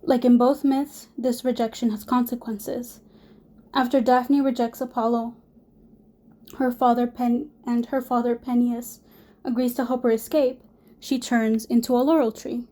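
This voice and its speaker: A young woman, hoarse from a cold, gives a class presentation in a mellow, fairly high voice. She reads from prepared notes and restarts a word now and then. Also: little pitch movement, a little slow. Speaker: student presenter.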